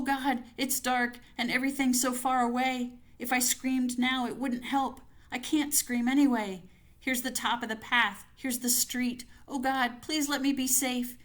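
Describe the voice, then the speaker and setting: A woman's voice: mid-range voice, fairly bright tone, pitched above the performer's own voice. A woman voicing a terrified woman fleeing home at night, pleading frantically and breathlessly to herself.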